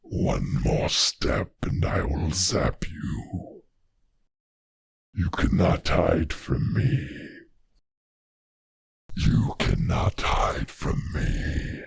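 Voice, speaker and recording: Giant menacing dragon for a fantasy game, super deep, really slow n haughty, raspy growl. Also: male voice, dry recording, heavily acted. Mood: threatening. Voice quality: gravelly, raspy, breathy growl. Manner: slow, drawn-out, menacing delivery. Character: huge menacing male dragon guarding his hoard, haughty and threatening. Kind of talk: fantasy game creature voice lines.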